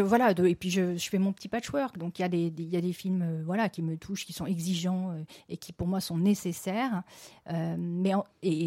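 Woman, middle-aged, mellow tone, halting, restarts often. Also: lowish voice, no room sound, studio quality. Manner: halting, many restarts and fillers. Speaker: festival general delegate. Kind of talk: hesitant interview answers.

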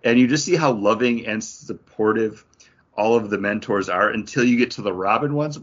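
A man with a low-pitched, husky voice talks as a podcast co-host. His delivery is casual, enthusiastic review chat that dips into acted-out quotes. The audio is a little dull and lo-fi. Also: moderate pace.

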